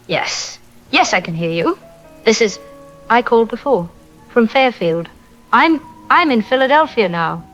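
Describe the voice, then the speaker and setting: Over a dull phone line with rain and music behind, an actress plays a trapped woman survivor in an audio drama. She sounds fearful and worn out, speaking in halting fragments. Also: fairly high pitch, expressive, fairly bright tone. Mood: frightened, weary. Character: frightened, exhausted woman survivor trapped by zombies.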